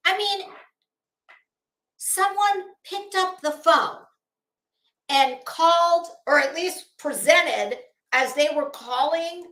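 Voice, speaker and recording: High-voiced, slow-talking American woman, roomy sound. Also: fairly bright tone. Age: middle-aged. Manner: blunt, opinionated, profane. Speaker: YouTube commentary host. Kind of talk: casual livestream commentary. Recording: roomy, echoey room sound.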